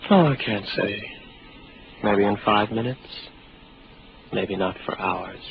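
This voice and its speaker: An actor in a 1950s radio drama playing a lonely, stubborn, wry space officer battling hallucinations. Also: male voice, fairly low pitch, slow pace, gravelly. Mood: wry skepticism. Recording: muffled, noisy 1950s radio recording.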